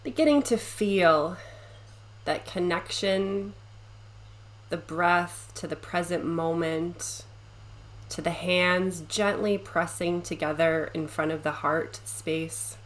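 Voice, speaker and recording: Very slow, soothing woman guiding meditation. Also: on the low side. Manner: gentle, unhurried, soothing, with long pauses. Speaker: guided meditation teacher. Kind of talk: guided meditation narration.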